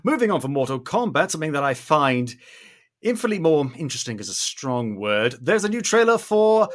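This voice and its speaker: A man's voice: fairly high pitch, sing-song, fairly quick. A man hosting a casual pop culture chat, joking and opinionated in a nitpicky way. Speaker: podcast host.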